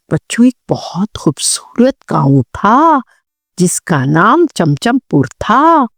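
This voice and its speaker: A woman's voice: a little high, no echo, clean studio sound. A woman narrating a scripted children's story, speaking expressively and addressing young listeners directly.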